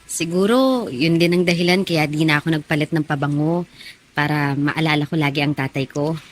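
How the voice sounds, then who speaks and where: A young woman playing a gentle, hopeful working woman in a radio drama, speaking in an earnest way. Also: low-pitched, normal speed, a little hiss or hum, close to an everyday voice. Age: young adult.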